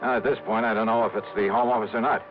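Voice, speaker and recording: A man's voice: fairly low pitch, steady tone, very fast, lo-fi sound, music in the background, lightly acted. An American man playing a cocky, wisecracking freelance insurance investigator in a 1950s radio drama, with a flippant, dry, clipped delivery. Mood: wry narrating. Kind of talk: 1950s radio detective acting with first-person narration. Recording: noisy, band-limited 1950s radio recording.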